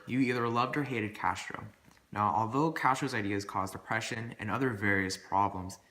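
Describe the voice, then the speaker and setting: Male amateur newsreader, lowish bright voice, scripted bulletin delivery, recorded in an echoey room. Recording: echoey room with faint background noise.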